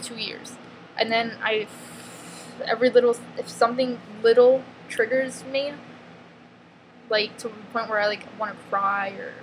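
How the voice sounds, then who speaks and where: Woman, New York accent, somewhat high-pitched, blunt confessional vlogger who restarts often; audible ambient noise behind her. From northeastern US, near New York. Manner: blunt, emphatic, peppered with "freaking" and "like"; halting, restarts mid-sentence. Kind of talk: confessional vlog monologue. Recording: noticeable background ambience noise.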